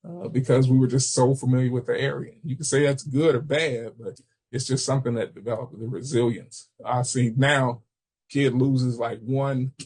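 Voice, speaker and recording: An American man from Chicago giving an informal training webinar, explaining haltingly with personal asides. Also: mid-range voice, steady tone, darkish tone, breathy edge. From Chicago, United States. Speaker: webinar presenter, substance misuse prevention trainer.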